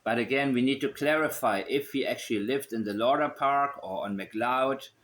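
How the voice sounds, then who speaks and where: A man's voice: mid-range voice, normal speed, a bit bright. Male online host, mid-range voice, unscripted and musing, reasons aloud step by step and often repeats points. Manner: musing, thinking aloud, repetitive. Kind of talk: unscripted commentary while examining a map.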